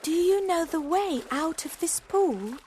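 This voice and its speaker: A woman's voice: very high-pitched, animated, measured pace, darkish tone, slight background noise. A woman voicing a curious young girl in a storybook, speaking expressively and politely. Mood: puzzled. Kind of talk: storybook character acting.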